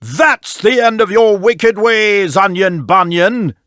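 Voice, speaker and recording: A woman's voice: mid-range voice, slow, fairly bright tone, no echo, clean studio sound, voice pushed up. A woman voicing a kindly but indignant king in a storybook, with a stately, protesting manner. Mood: angry.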